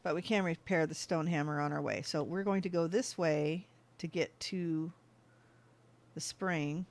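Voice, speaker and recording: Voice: mid-range voice, fairly even intonation, a little slow. A gaming channel host giving casual let's-play commentary, chatting to themselves and thinking aloud as they play.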